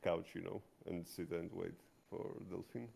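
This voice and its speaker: Low, mellow, gravelly man, halting delivery. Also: flat delivery. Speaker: player in a tabletop roleplaying stream. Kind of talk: casual narration of own character's actions.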